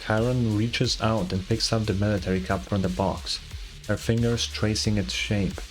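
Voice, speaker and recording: A man's voice: fairly low pitch, fairly even intonation, moderate pace. A man streaming a video game, reading its story narration aloud with casual asides, over game music.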